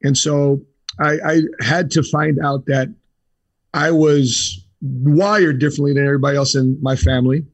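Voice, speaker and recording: A man's voice: on the high side, steady tone, moderate pace, dry recording. A man being interviewed on a podcast, telling his story steadily in short punchy phrases. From United States. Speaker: guest. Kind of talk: casual interview.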